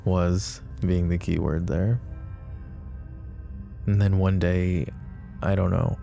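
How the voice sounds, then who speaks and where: A man playing a possessive, protective mafia boss in an ASMR roleplay drama, with a deep, gravelly voice and a low, measured, quietly menacing way of talking. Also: flat delivery, soft background music, full character voice. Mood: bitter.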